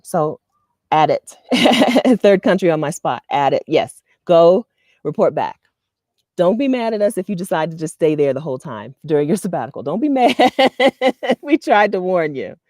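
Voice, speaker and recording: A woman in her thirties from the US East Coast, talking casually to camera with advice, chatty and animated, often laughing and checking in with "okay?". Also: low voice, dry recording, studio quality. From Delaware, US East Coast. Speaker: vlogger and expat community host. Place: outdoors in front of a leafy green wall.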